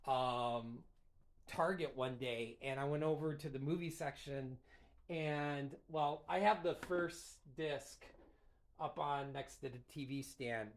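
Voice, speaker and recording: Man, host, casual chat, rambling and excited, slightly high voice. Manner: rambling and enthusiastic, many fillers. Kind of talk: casual solo chat about a cartoon rewatch.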